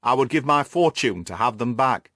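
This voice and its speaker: A man's voice: medium pitch, lively intonation, moderate pace, fairly bright tone, no echo, lightly acted. A man performs an anguished banker in an audiobook. He sounds earnest, bewildered and pleading, with strongly varied intonation in a dry, close recording. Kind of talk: audiobook character dialogue.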